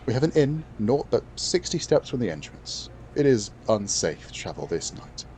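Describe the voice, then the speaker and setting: A courteous but steely female clan leader with paws speaks in a formal, measured way, as a male narrator puts her on. The voice sits a little higher and louder than his usual tone. It is an audiobook fantasy tale. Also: sing-song, a little hiss or hum, lightly acted.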